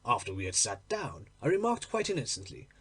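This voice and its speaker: A man voicing a troubled, impatient, lovestruck gentleman in a storybook reading, earnest, dramatic and deliberate. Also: mid-range voice, wildly swooping pitch, breathy edge, voice pushed down, full character voice. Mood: wistful, fervent. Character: troubled, impatient, lovestruck English gentleman telling his secret.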